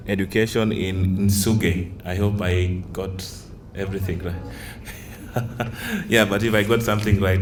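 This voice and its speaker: A man moderating a conference session, introducing the next speaker in a slow, hesitant way. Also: sits low, gravelly, slight background noise.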